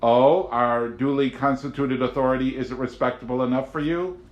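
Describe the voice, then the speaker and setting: A man's voice: medium pitch, fairly even intonation, moderate pace, muffled recording, pitched above the performer's own voice, close to an everyday voice. A man voicing a fatherly, indignant government agent who lectures and scoffs in an audiobook. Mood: scornful. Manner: forceful, lecturing, exasperated. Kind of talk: audiobook character voice. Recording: roomy, echoing home recording.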